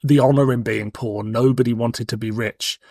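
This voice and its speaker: A man's voice: medium pitch, steady tone, moderate pace, vocal fry, darkish tone, no room sound, clean studio sound. A man in his forties with a British accent, hosting a podcast in a casual, cheeky, frank chat. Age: 40s. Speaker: podcast host.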